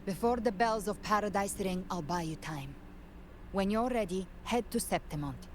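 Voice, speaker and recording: An actress playing a solemn, poised woman guiding a hero in a video game, speaking in a grave, measured, dramatic way. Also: medium pitch, slight background noise, close to an everyday voice. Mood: solemn, resolute. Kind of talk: scripted video game voice acting. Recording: game dialogue over clear background music. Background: game music.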